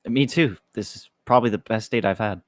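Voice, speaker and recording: A man's voice: fairly low pitch, a little fast, lightly acted. A man reading the part of an awkward, good-natured college student in a hesitant, conversational way at a table read. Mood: easygoing. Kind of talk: casual script table read.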